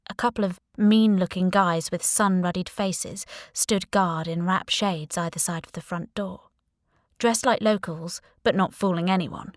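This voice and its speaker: A woman reading scripted first-person fiction as an audiobook narrator, in a wry, expressive way. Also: on the low side, a little slow, no room sound, clean studio sound.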